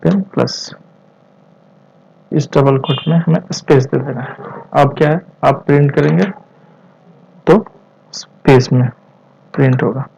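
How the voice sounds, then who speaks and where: A young Indian man gives a step-by-step tutorial at a slow pace, pausing between short phrases. His accent is Indian and he drops English terms into his explanation. The recording is dull and lo-fi. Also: medium pitch, gravelly, warm tone, slightly rough. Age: 20s. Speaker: programming instructor.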